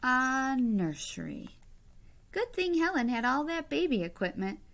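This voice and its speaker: A woman reading a children's picture book aloud like a teacher, with expressive, deliberate phrasing and short asides to young listeners. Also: high-pitched. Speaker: read-aloud storyteller, teacher-like.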